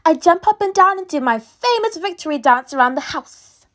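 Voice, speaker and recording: High-pitched, animated woman reading a storybook. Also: normal speed. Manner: animated, dramatic delivery. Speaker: storybook reader. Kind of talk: children's picture book read-aloud, expressive storytelling.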